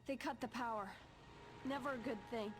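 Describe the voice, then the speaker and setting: A woman's voice: fairly high pitch, moderate pace, lightly acted. A woman playing a nervous, nerdy teenage girl with an American accent in a video game, rambling in a quirky way. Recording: loud music over the voice.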